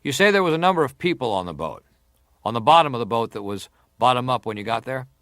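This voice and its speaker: A persistent senator questions a witness in a dramatized hearing. His delivery is formal and deliberate at a medium pitch, recorded close and dry. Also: big pitch swings, fairly bright tone, no room sound. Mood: insistent, methodical. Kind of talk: dramatized reading of hearing testimony.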